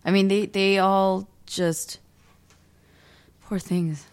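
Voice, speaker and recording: A young adult woman talking animatedly and emphatically in a casual conversation as a podcast co-host. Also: medium pitch. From United States. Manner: animated, emphatic, quick bursts of speech.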